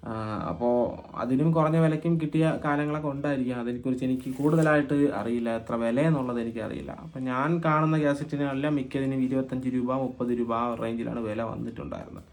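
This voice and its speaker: A man from Kerala hosting an informal explainer vlog, speaking haltingly and often repeating phrases as he thinks aloud. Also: medium pitch, fairly even intonation. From Kerala, India. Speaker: video host and cassette collector.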